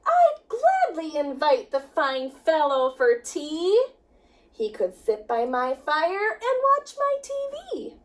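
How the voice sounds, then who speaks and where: A woman with a very high voice reads a children's picture book. Her delivery is slow and sing-song, with lively swings in pitch and emphatic, drawn-out words. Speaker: children's ministry director reading storytime.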